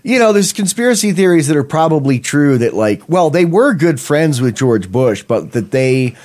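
A man's voice: fairly high pitch, sing-song, normal speed, a little mellow. A man co-hosting a political talk show, chatting casually in a rambling way and often restarting his sentences.

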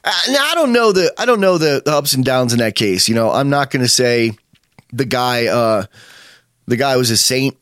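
A man's voice: medium pitch, animated, moderate pace, a bit bright, clean tone, no room sound. Man, 50s, podcast host type, rambling and opinionated, dry close sound. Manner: rambling, opinionated, many fillers and restarts. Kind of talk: casual chat.

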